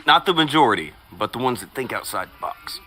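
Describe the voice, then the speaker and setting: A male stream host with a lively, swooping delivery and a bright, forceful tone. He teases his co-host, reads out viewer comments and drifts into crude, profane anecdotes in loose casual chat. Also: mid-range voice, a little fast, slight background noise. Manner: crude, teasing, profane storytelling.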